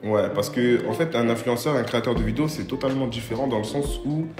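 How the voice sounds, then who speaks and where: A man's voice: mid-range voice, fast talker. Young Parisian guy interviewed, hesitant slangy delivery, roomy echo, music bed underneath. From Paris, France. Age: 20s. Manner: hesitant, slangy, many fillers. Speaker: interviewee, video creator. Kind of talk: casual interview answers. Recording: echoey room with music behind.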